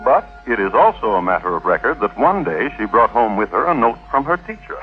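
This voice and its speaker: A man narrating a scripted old-time radio crime tale in a wry, deadpan manner with deliberate dramatic pauses. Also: lowish voice. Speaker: narrator and host. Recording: tinny old radio broadcast with music. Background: music.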